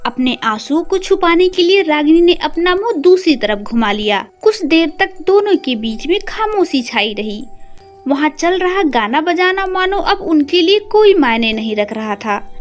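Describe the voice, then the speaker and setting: A woman narrator tells a scripted, dramatic story. Her high voice moves expressively up and down, with soft music behind her. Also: animated, a little slow.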